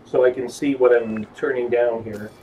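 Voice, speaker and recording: A man streaming a video game, chatting casually with fellow players in a halting way, repeating words as he goes. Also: mid-range voice, fairly even intonation, warm tone, far from the mic. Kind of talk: casual gamer voice-chat banter. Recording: dull, noisy headset audio, off mic.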